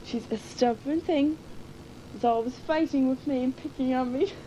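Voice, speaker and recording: A chatty, giggly girl in her late teens with a Cork Irish accent, teasing her friend on a home tape letter. Her voice sits a little high. A loud cassette hiss runs under everything. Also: normal speed, highs cut off. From Ireland. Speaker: schoolgirl recording a tape letter. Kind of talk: casual chat recorded on a home cassette. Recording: hissy 1980s cassette tape.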